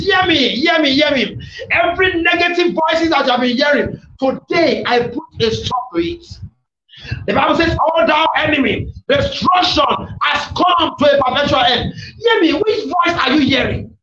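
Shouting high-pitched male preacher. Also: recorded from a distance. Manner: shouted, forceful, repetitive delivery. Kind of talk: fiery Pentecostal sermon with prayer declarations. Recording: echoey, dull, noisy room recording.

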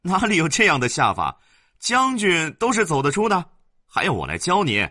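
Male storyteller as a fussy, excitable servant in a spoken folk tale, lively voice. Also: fairly high pitch, normal speed, dry recording, clean studio sound, pitched above the performer's own voice, exaggerated voice. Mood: scoffing. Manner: explaining, exclaiming. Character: older household servant, startled.

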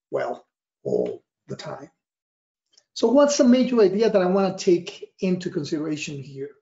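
Costa Rican man presenting, higher-pitched, roomy sound. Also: a little mellow. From Costa Rica. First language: Spanish. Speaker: risk analysis trainer and consultant giving a presentation. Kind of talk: slide presentation, semi-scripted explanation. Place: small office, indoors. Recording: dull, roomy webcam recording.

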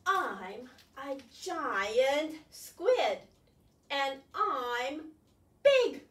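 A woman voicing a boastful, gleeful giant squid from a storybook, with loud drawn-out proud declarations. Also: sits high, big pitch swings, exaggerated voice. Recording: roomy, distant microphone, faint noise.

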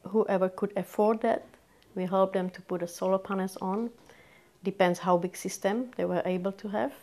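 A woman's voice: medium pitch, fairly even intonation, moderate pace, darkish tone. A woman in her sixties from the Czech Republic, with a Czech accent, earnestly explaining her volunteer work in a sit-down interview in a small office with bookshelves. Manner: earnest, non-native phrasing, keen. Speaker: community volunteer.

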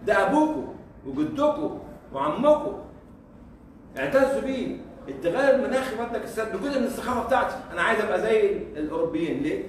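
A man with an Egyptian Arabic accent giving a lively university lecture, teasing the audience with rhetorical questions. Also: sits high, quick pace. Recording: echoey hall from far off, faint noise.

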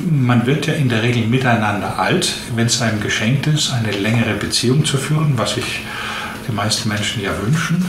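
A man in his late fifties giving measured explanations as a doctor being interviewed. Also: medium pitch. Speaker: interviewed physician. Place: small indoor room, seated at a desk. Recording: echoey room with clear background noise.

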